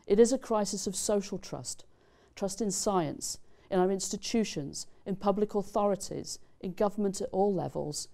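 Measured middle-aged woman, stately address. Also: medium pitch, dark tone. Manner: measured, deliberate, stately delivery. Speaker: dean giving commencement remarks. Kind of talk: formal prepared ceremonial speech. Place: studio set with screens, indoors.

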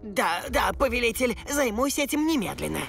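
Gravelly, scheming alien henchman. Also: very high-pitched, big pitch swings, normal speed, heavily acted. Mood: anxious, servile. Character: scheming servile alien henchman, male, treacherous. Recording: loud music behind.

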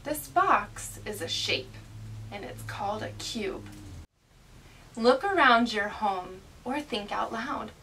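A woman presenting an educational read-aloud for young children in an animated, exaggerated, child-directed way. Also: mid-range voice, takes it slowly. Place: small indoor classroom inside a mobile learning vehicle. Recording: distant mic with faint room noise.

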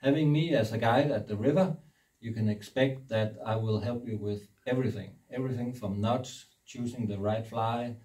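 Soft-toned man, native Danish. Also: mid-range voice, recorded from a distance. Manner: steady, explains with pauses and fillers. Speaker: fishing guide. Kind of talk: casual interview. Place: indoor room with brick wall and river map.

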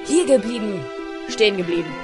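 A woman's voice: medium pitch, lively intonation, brisk, music in the background, close to an everyday voice. A woman playing a bossy castle guard in an amateur audio drama. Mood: commanding. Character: bossy castle guard soldier giving chase.

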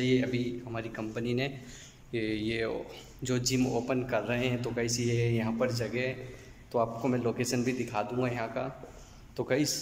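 A man's voice: medium pitch, slight background noise. A young man giving a casual walkthrough of an empty indoor space, filming himself on a phone. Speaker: vlogger. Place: large empty indoor hall. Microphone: handheld phone at arm's length. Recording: echoey empty room.

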